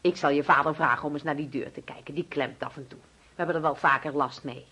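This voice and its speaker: An actress in a radio drama playing a doting, controlling mother who fusses and coaxes with sweet insistence in a husky voice. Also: low voice, fairly even intonation, quick pace, bright, forceful tone, full character voice. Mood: indignant, scolding. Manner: coaxing, fussing, overbearing.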